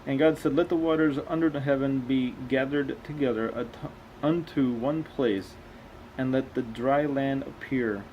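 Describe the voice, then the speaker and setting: Guy reading out loud n commenting, skeptical, casual, bit of aircon noise behind him. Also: medium pitch, measured pace, dull audio. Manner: skeptical, questioning. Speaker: host reading aloud and commenting. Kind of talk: casual commentary between read-aloud passages.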